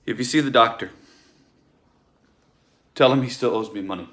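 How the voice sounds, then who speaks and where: An actor playing a bitter, wronged husband in a theatrical table read, speaking in an accusatory, ominous and emphatic way. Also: male voice, mid-range voice, normal speed, a bit bright, lightly acted. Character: bitter, wronged husband warning about a sinister doctor.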